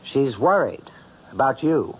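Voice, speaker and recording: Animated, probing radio-drama confidant. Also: male voice, mid-range voice, a little slow, breathy edge, exaggerated voice. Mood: concerned, probing. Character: concerned, probing friend and confidant. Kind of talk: 1970s radio drama acting. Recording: dull, faintly noisy old radio recording.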